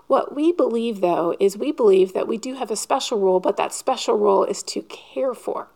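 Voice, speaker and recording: A woman with a slightly higher voice delivers a sermon. She speaks earnestly and at a measured pace, often pausing to pose rhetorical questions. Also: vocal fry, warm tone. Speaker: preacher.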